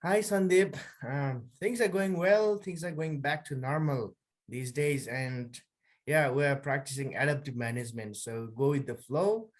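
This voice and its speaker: A man's voice: fairly high pitch, unhurried. A man in his thirties from Nepal with a Nepali accent, answering interview questions in a hesitant way with many fillers. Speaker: podcast guest, social worker.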